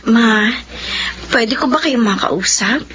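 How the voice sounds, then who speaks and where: Long-suffering wife, earnest, pleading, high voice, dull lo-fi radio drama. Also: female voice, animated, takes it slowly. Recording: lo-fi with faint hiss.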